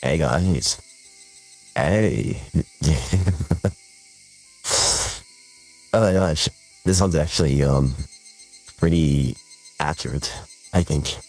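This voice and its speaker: A woman using a deep male voice-changer filter that makes her sound like a young man, chatting in an amused, casual way. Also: very slow, long pauses, a little mellow, slightly rough, soft background music, heavily acted, poor audio.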